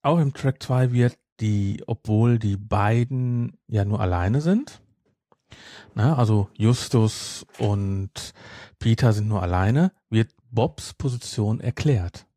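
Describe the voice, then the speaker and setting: A man's voice: lowish voice, slow pace, warm tone, dry recording, clean studio sound. A man chatting casually as a podcast host, explaining things in a rambling way and correcting himself.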